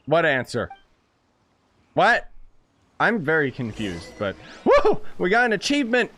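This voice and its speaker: A man hosting a let's-play video and commenting on the game in an excitable, jokey way, often breaking into exclamations. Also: fairly high pitch, measured pace, a little hiss or hum. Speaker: gaming video host.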